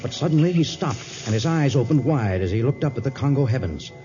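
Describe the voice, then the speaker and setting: A man's voice: mid-range voice, lively intonation, fast. A man narrating a 1950s radio adventure in a dramatic, scripted storytelling style. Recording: dull vintage radio, loud music behind.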